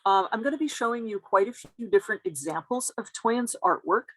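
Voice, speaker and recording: A woman's voice: a little high, expressive, brisk, a bit bright, husky, no echo. A woman giving a careful, explanatory academic talk as a guest lecturer over a video call.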